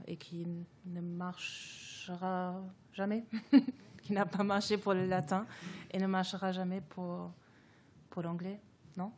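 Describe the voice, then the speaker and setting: Woman whose first language is german, kinda low voice, thinks out loud with lots of euhs. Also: a little hiss or hum. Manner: thoughtful, hesitant, many fillers and restarts. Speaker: guest author. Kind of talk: reflective academic discussion.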